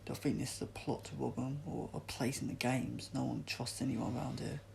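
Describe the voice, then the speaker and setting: A man voices a streetwise young male athlete in a storybook. He is cynical and wary, speaking colloquially with a creaky voice, with faint noise behind. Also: mid-range voice, steady tone, a little fast, a little mellow. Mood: warning.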